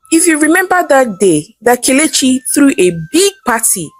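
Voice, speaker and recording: An anxious Nigerian husband, as a woman storyteller plays him in a folktale. He pleads and persuades, stretching his words, with pitch swinging up and down. Faint music sits behind. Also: slightly rough. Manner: pleading, drawn-out exclamations.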